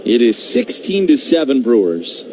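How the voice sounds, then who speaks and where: Man calling baseball over loud noise. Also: mid-range voice, very animated, a little slow. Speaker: baseball play-by-play radio announcer. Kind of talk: live baseball radio commentary. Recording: noisy live radio broadcast.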